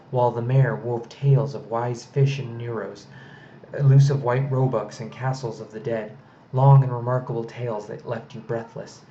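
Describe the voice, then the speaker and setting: Mellow man reading a bedtime story. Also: medium pitch. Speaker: storyteller reading a children's book aloud. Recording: slightly noisy, dull home recording.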